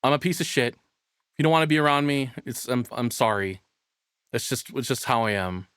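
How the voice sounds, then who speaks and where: A man's voice: mid-range voice, lively intonation, moderate pace, fairly bright tone, clean tone, no echo. Tired male streamer, crude and casual, dry sound. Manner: crude, profane, self-deprecating. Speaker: streamer reacting to a video. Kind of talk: casual live-stream commentary.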